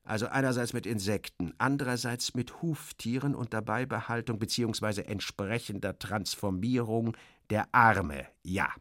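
A man's voice: lowish voice, moderate pace, a bit bright, dry recording, clean studio sound, lightly acted. A man playing a fussy, obsequious station director, an officious bureaucrat who hesitates and corrects himself, in a satirical audio reading. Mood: pedantic explaining.

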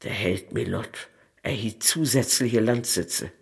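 Husky male literary narrator. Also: fairly low pitch, slow. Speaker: narrator reading literary prose.

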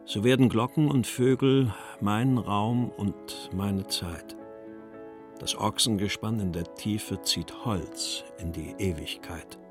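A male post-war writer with a low voice, reading his own letters and verse. He sounds weary and wry, and articulates each phrase with care. Music plays clearly behind him. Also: steady tone. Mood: contemplative. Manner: measured, carefully articulated. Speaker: actor reading letters and poems. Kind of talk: literary reading of letters and verse for a radio feature.

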